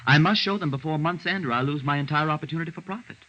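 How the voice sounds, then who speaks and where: A man's voice: on the high side, racing, pushed and bright, lo-fi sound. An actor playing a flustered, self-dramatizing male dress designer in a theatrical, fussy 1950s radio drama style. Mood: desperate, agitated. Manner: theatrical, fussy, gushing, stammers when agitated.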